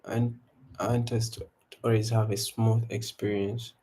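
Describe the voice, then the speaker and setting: A man's voice: fairly low pitch, unhurried. A young man from Uganda with a Ugandan accent, hosting a casual live trading stream and chatting loosely to viewers from an indoor room. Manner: relaxed, repetitive hype calls to viewers. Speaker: livestream trading host. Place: indoor room before a branded backdrop.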